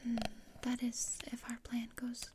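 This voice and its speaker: A woman playing a morbid, scheming, deadpan teenage girl in a whispered ASMR roleplay, with a measured, dryly macabre manner. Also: fairly high pitch, heavy background noise, close to an everyday voice. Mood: dry, plotting.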